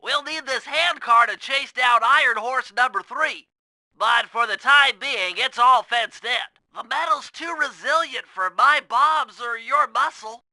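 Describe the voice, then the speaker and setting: A man voicing a nerdy, earnest young turtle who plans heists in an animated video game, with a nasal voice and a precise, technical, eager way of briefing. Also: extremely high pitch, measured pace, dry recording, exaggerated voice. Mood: brisk, instructing.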